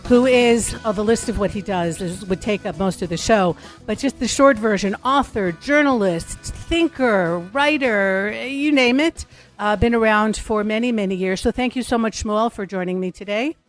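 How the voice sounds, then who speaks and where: A woman's voice: mid-range voice, moderate pace, soft background music. Chatty woman hosting an interview. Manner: eager, chatty questions. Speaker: podcast host.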